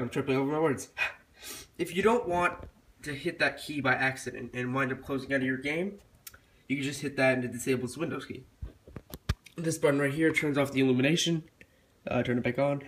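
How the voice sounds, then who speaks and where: A young man with a stuffy cold voice, casually reviewing a product with lots of fillers and restarts. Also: medium pitch. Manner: rambling with frequent fillers and restarts. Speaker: tech reviewer.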